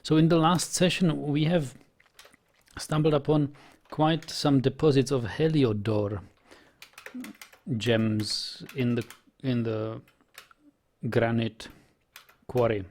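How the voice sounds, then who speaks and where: A man talks slowly through his game, pausing and restarting his sentences. His voice has a gravelly, creaky edge and sits at an ordinary pitch. He muses aloud and is in no hurry. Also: fairly even intonation, a little mellow. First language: Czech. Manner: hesitant, musing, many ums and restarts. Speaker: let's play host. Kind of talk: gameplay commentary.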